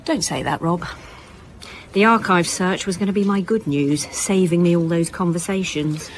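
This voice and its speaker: Senior woman detective, radio drama, played by an actress: weary, sarcastic, lowish voice, naturalistic delivery. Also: normal speed, a little hiss or hum, close to an everyday voice. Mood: businesslike. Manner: dry, wry, sarcastic. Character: sardonic, weary senior female police detective.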